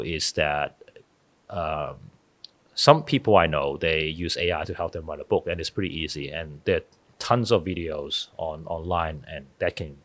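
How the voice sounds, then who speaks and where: Deep-voiced man, dry sound. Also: no room sound. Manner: earnest, storytelling, frequent restarts. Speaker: podcast guest, author. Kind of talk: casual interview talk.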